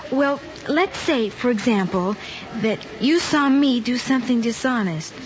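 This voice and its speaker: A woman's voice: a little high, animated, unhurried. A young woman playing an honest, earnest schoolgirl in a mid-century screen drama. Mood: earnest, confronting. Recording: muffled old film soundtrack, faint noise.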